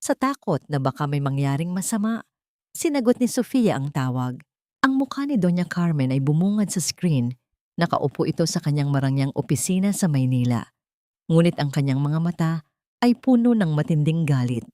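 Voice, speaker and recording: A woman narrates a romantic audio drama in a scripted, dramatic style. Her voice sits on the low side, and the recording is dry and close. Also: lively intonation, moderate pace, mellow, dry recording.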